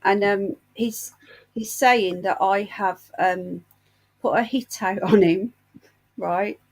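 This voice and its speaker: A woman's voice: mid-range voice, steady tone, slow, low-quality recording. A woman hosting a casual livestream, unwell with a painful chest, chatting in a gossipy, indignant storytelling manner. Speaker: livestream host.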